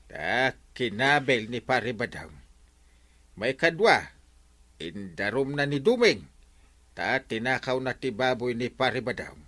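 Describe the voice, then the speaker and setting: A man with an Ilocano accent playing an excitable, exasperated village captain in a radio comedy drama, stammering and exclaiming. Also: mid-range voice, exaggerated voice.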